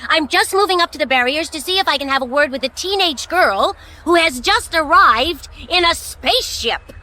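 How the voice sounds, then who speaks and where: An actress playing a brisk, image-conscious television reporter in a radio drama, with polished delivery. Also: female voice, high voice, expressive, bright, forceful tone. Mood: brisk, professional.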